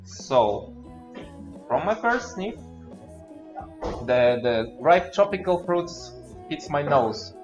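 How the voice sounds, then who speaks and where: A man's voice: on the high side, sing-song, slow pace, pauses often. Muffled man co-hosting a beer tasting. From Kazakhstan, from Astana. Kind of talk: casual livestream tasting chat. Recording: muffled livestream audio with music behind. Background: clear background music.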